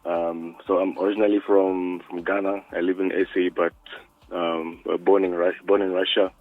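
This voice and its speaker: A man who is a music producer, talking by phone in an interview, hesitant and full of "um"s and quick to laugh. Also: low voice, little pitch movement, dry recording. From South Africa. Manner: hesitant, many "um"s, laughs easily. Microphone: phone line. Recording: tinny dry phone line.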